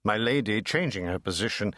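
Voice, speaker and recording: Guy reading a classic novel out loud, really varied pitch, measured, dry close recording. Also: no room sound. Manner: measured, expressive, savouring each phrase. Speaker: audiobook narrator. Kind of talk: dramatic audiobook narration of classic fiction.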